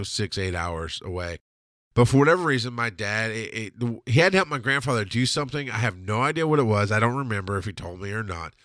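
A man's voice: lowish voice, fairly bright tone, no echo, studio quality. Stuffy-nosed man rambling on a podcast. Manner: rambling, profane, laughs often. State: stuffy nose. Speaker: podcast host. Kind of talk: casual storytelling monologue. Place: home studio.

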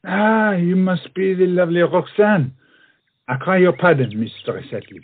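A man acts a proud French swordsman and poet in a stage-style comedy. His delivery swings widely, with florid, eloquent phrasing and a husky edge. The recording is dull and lo-fi. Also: high-pitched, lively intonation, normal speed. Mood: courtly, self-conscious. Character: proud, self-conscious, witty French swordsman-poet with a large nose. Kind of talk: theatrical comedy audio-play acting.

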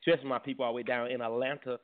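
A man hosting a morning radio show, joking and teasing his co-hosts loudly. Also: mid-range voice, flat delivery, rapid-fire. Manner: loud, teasing, trash-talking. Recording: tinny phone-like sound.

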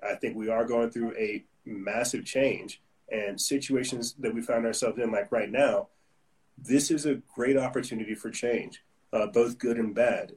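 A man's voice: medium pitch, fairly even intonation, moderate pace, a little mellow, recorded from a distance, low-quality recording. A man hosting a casual interview, talking in a rambling, upbeat way and often restarting his sentences. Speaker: host.

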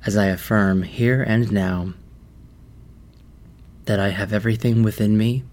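Man, meditation guide, lowish pitch, very slow pace, deliberate phrasing, long pauses. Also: a little mellow.

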